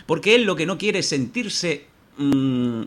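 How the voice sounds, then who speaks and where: A man from Barcelona with a Spanish accent, co-hosting a podcast and telling an anecdote in animated, casual comedic chat. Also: on the high side. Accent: Spanish (Spain). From Barcelona, Spain. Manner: animated, rapid anecdotal storytelling. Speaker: podcast co-host.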